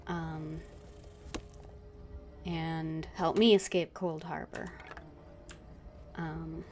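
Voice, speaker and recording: A woman giving casual, unscripted commentary as she streams a video game, hesitant and thinking aloud with long pauses. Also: deep voice, level tone, darkish tone, soft background music.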